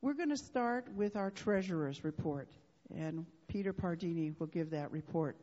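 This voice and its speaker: A woman chairing a club business meeting, brisk and procedural, with a loud voice. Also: on the low side, animated. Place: meeting room. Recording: muffled echoey hall sound.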